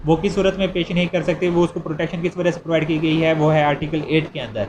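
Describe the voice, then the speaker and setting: A man with a Pakistani accent giving an explanatory law lecture, deliberate when reading out and conversational when explaining. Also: on the high side, fairly even intonation, noisy background.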